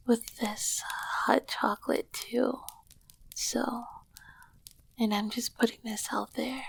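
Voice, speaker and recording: Woman voicing a breathy, creaky, tender succubus queen. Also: a little high, heavily acted, rough recording. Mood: tender, coaxing. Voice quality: breathy, husky, often whispering. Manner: slow, affectionate, softly teasing. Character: ancient succubus queen, tender, teasing, regal lover. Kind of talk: intimate ASMR roleplay.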